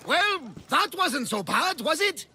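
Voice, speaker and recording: A man's voice: very high voice, very animated, takes it slowly, sharp tone, slight background noise, exaggerated voice. An actor voicing a loyal, philosophical male comrade in a video game cutscene, reassuring in manner.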